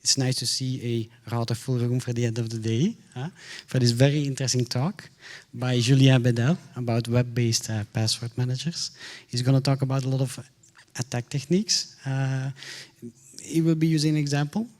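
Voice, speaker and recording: A man's voice: mid-range voice, fairly even intonation, moderate pace, a little mellow. A man hosting a conference session, introducing the next speaker in a casual, friendly way. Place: conference hall.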